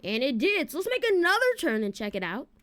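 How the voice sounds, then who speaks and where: A woman's voice: high-pitched, big pitch swings, quick pace, fairly bright tone. A woman giving casual, informal gameplay commentary, talking directly to viewers as she walks through features. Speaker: video game streamer and presenter.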